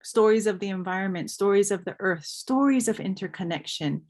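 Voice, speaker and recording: A woman's voice: mid-range voice, expressive, a little fast, a little mellow. A woman from Hawaii speaking articulately as a panelist, reflecting personally and building emphatic lists. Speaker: panelist, scholar.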